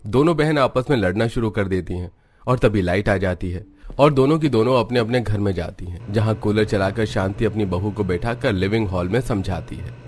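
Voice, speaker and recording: A man's voice: on the low side, normal speed, darkish tone. A man narrating a scripted cartoon story as its storyteller.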